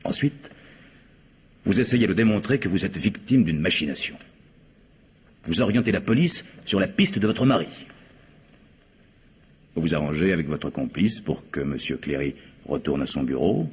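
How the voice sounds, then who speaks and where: A man acting as a composed, methodical police commissioner in a French radio mystery, speaking formally and deliberately. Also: sits low, expressive, breathy edge, exaggerated voice. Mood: calm, accusing.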